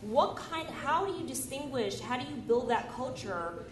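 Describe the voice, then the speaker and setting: A woman's voice: sits high, expressive, moderate pace. A woman moderating a conference panel, engaged and thoughtful, asking questions with a few restarts. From United States. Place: conference stage in a large hall. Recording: echoey hall with audience noise.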